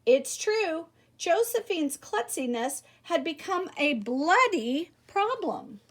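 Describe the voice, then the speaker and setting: High, very lively woman reading aloud. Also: slow. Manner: animated, theatrical emphasis with exclamations and rhetorical questions. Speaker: teacher reading a picture book aloud. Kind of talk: expressive children's story read-aloud.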